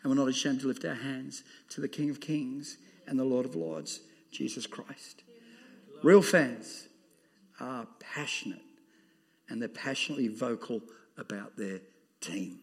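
A man with an Australian accent and a loud, booming voice, preaching an emphatic sermon to a church congregation. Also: a little slow, creaky, breathy edge. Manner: emphatic, laughing, exclaiming amen. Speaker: pastor.

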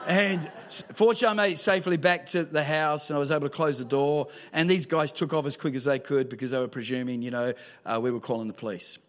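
A pastor with a high voice preaches to his congregation. He tells stories with humour and punches key lines with a raised voice. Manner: lively storytelling with humour, rising to shouts. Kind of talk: sermon. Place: church hall with screen.

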